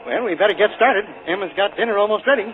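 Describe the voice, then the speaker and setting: A man's voice: sits high, racing, phone-quality sound, close to an everyday voice. An actor playing a modest middle-aged small-town father in a 1940s radio play, mild and homely. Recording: scratchy 1940s radio broadcast with faint hiss.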